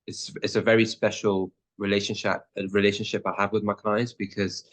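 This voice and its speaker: Deep-voiced man, halting interview answers. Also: level tone. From born in Pakistan, raised in the Netherlands. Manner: hesitant, many fillers and restarts. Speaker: lawyer guest.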